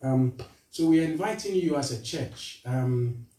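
A man's voice: medium pitch, warm tone. Earnest Ghanaian man in a roomy hall. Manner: earnest, halting with fillers and restarts. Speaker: charity representative speaking to a church. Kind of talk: appeal talk in church. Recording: roomy echo, voice from far off.

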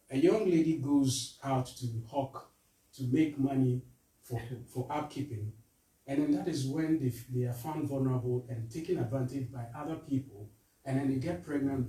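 A man from Ghana with a Ghanaian accent, giving an earnest charity appeal to a church, halting with fillers and restarts. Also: mid-range voice, warm tone. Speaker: charity representative speaking to a church. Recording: roomy echo, voice from far off.

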